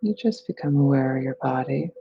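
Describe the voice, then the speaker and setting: A person leading a guided meditation in a soothing, unhurried manner. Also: mid-range voice, animated, muffled recording. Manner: soothing, unhurried phrasing with breathy releases.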